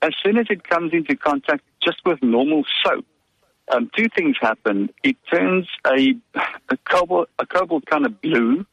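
Gravelly male caller on a phone line. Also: a little high, sing-song, normal speed, a bit bright, no room sound. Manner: hesitant, describing a problem.

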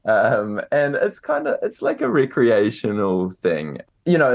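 A young man with a New Zealand accent talks in a low, deep register. He answers in a loose, conversational way, circling back with ums and restarted phrases as he thinks. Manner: hesitant, many ums and restarts. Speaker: author and guest. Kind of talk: casual interview answers.

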